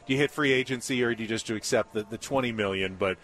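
Male sports talk host, live remote show, average pitch. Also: fast talker. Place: live remote broadcast at a baseball spring training site.

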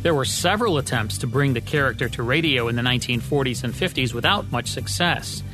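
A man's voice: mid-range voice, lively intonation, a little fast. An American man co-hosting a radio show, reading scripted narration in a polished presenter's manner. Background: music.

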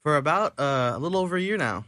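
A man's voice: fairly high pitch, lively intonation, quick pace, pushed and bright, dry recording, studio quality. A man in his twenties, a singer casually answering questions as a radio guest. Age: 20s.

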